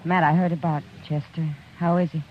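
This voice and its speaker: A woman's voice: sits low, little pitch movement, fairly quick, noisy background, natural voice. An actress playing a worried, caring frontier saloon woman in a 1950s radio drama, earnest and pleading. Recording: dull vintage radio broadcast, faint music.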